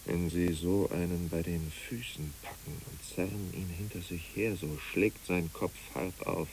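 A brooding killer in a radio drama, terse and grave. The voice is very low and full of gravel, with slow, deliberate phrasing. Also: male voice, darkish tone, low-quality recording. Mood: grim, brooding. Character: brooding, blunt male killer who slew his comrade. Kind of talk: literary radio play acting. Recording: hissy old radio recording.

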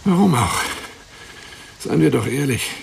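A man's voice: medium pitch, sing-song, a little slow, gravelly, darkish tone, slightly rough, heavily acted. An actor playing a lonely, boastful, needy middle-aged man in a theatrical radio play monologue, pompous and effusive. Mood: wounded, reproachful. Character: lonely fat armchair adventurer, boastful, needy, pleading. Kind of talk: radio play monologue, addressing a woman by phone.

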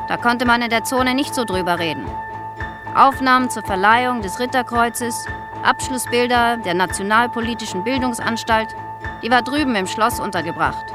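A woman's voice: fairly high pitch, moderate pace, music in the background, lightly acted. An actress playing an older East German woman in a radio drama, recollecting in a chatty, self-justifying, insistent way. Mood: proud, reminiscing. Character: older East German woman, wounded, defensive photographer's granddaughter.

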